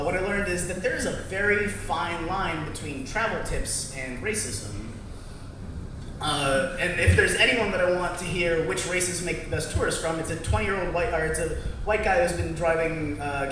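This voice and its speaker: A young man doing a stand-up set, delivering dry jokes with many halting fillers. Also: fairly high pitch, recorded from a distance, background noise. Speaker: stand-up comic. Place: small comedy club. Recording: echoey room, mic heard from afar. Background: crowd ambience and laughter.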